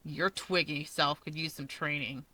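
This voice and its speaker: A woman voicing a gruff, bratty teenage boy who is curt, grudging and insulting, in a story reading. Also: low-pitched, fairly even intonation, measured pace, bright, forceful tone, dry recording, pitched below the performer's own voice, exaggerated voice, poor audio. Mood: snide.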